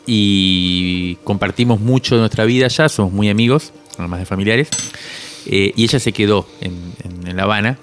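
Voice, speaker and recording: A man in his forties from Cuba, speaking reflectively as an interviewed author, often halting as he searches for words. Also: on the low side, soft background music.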